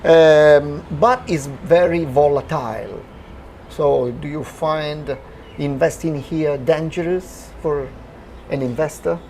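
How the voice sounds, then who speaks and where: A man whose first language is Italian, hosting a casual interview, halting and laughing often as he asks his questions. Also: on the high side, darkish tone. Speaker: interviewer, host. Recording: faint ambient noise.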